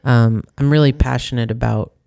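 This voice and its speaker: Young woman guest with a gravelly voice. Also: rumbling low, steady tone, moderate pace, dark tone, no room sound, clean studio sound. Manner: earnest and talkative. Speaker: guest, youth advocate. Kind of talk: casual interview chat.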